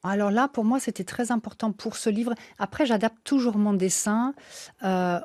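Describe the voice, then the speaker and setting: A woman's voice: mid-range voice, normal speed, darkish tone, no room sound, studio quality. A woman who is not a native French speaker, an author speaking as a guest in a radio interview, hesitant with many fillers and restarts.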